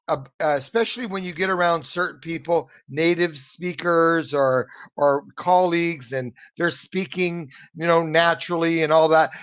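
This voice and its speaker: A man's voice: on the high side, fairly bright tone, clean tone. An American man tutoring English in an online lesson, talking earnestly and encouragingly, often repeating words as he searches for the next one. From United States. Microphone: video call. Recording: dull, muffled video call audio.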